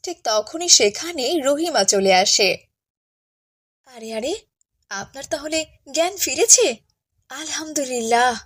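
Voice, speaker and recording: A woman narrating a cartoon tale and voicing a gentle, caring, pious village mother. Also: high voice, animated, slow, pauses often, exaggerated voice. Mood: surprised. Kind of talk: cartoon storytelling with character dialogue.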